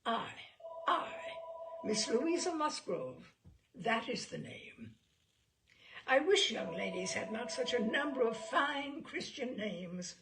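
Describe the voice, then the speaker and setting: A woman's voice: fairly high pitch, very animated, slow, vocal fry, a crowd in the background, exaggerated voice. A woman voicing a bluff, hearty, chatty older male admiral in an audiobook, blustery and rambling with emphatic delivery. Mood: puzzled, gossipy. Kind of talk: audiobook character dialogue.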